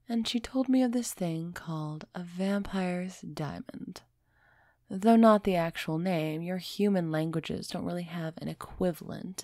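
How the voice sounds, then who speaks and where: A woman playing a refined, centuries-old female vampire in an intimate audio roleplay, gently imperious and softly teasing as she speaks straight to the listener. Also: fairly low pitch, no echo, clean studio sound. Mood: earnest, explaining. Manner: slow, measured, softly teasing, addressing listener directly.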